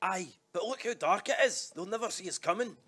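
A man playing Rab, a gruff brawler. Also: high-pitched, a little fast. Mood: eager. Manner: gruff, gung-ho shouting. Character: burly hard-drinking male Tartanian brawler, reckless. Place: live stage with music playing.

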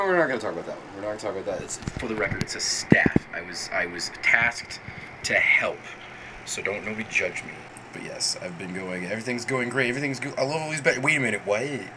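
A man casually rambling through a show-and-tell vlog at home, excitable, with sudden high squeals. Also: noisy background. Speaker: vlogger.

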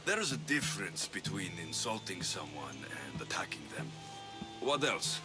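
A determined young mercenary hero from a video game, speaks in a low register with very mobile intonation. He is curt and purposeful, with clear music running underneath. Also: sing-song, normal speed. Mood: determined, probing. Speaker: playable protagonist. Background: background music.

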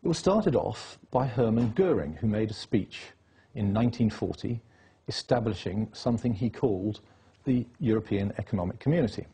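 Husky-voiced man lecturing insistently. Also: on the low side, lively intonation, moderate pace, dark tone. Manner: insistent, lecturing, piles up dates and facts. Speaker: interview guest. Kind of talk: political interview.

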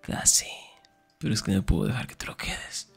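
A young man performing an intimate ASMR roleplay as a teasing, affectionate best friend, murmuring in a breathy, husky whisper. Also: moderate pace, soft background music.

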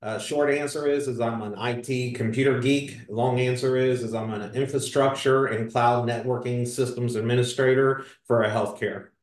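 A man's voice: mid-range voice, steady tone, moderate pace, hardly any pauses. Guy late 40s, roomy sound, away from the mic, casual explaining. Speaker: dating show guest. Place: home office, video call. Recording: echoey room, distant mic.

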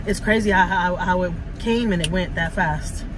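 A woman's voice: lowish voice, moderate pace, background noise. A woman in her thirties with a Texas accent, chatting to a phone camera from her car in a rambling way, with a slightly sore throat. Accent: Texan. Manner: chatty, rambling, repeats herself. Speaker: vlogger. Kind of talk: casual chat to camera. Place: inside a car. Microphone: phone held at arm's length.

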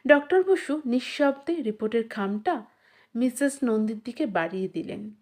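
A woman narrating a literary short story, reading from a script in a measured, expressive storytelling manner. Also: on the high side.

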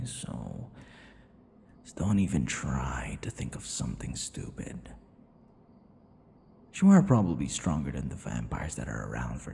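A man playing a possessive, cocky, menacing vampire captor in an ASMR roleplay, taunting in a breathy voice that often drops into whispers. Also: a bass voice, mellow, long reverb tail, slight background noise, full character voice. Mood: cocky, warning. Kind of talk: ASMR boyfriend audio roleplay.

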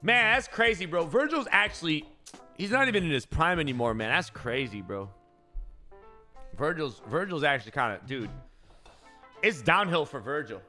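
A young man reacting casually on a live stream, excitable and slangy, swearing freely, close to the mic. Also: a little high, moderate pace, pauses often, faint music behind. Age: young adult. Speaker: streamer reacting to football clips. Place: small indoor streaming room. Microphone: close microphone on boom arm, with headset.

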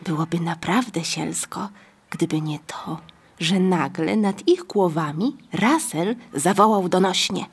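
A woman reading scripted narration for a children's story, with a husky, breathy voice. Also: on the low side, measured pace.

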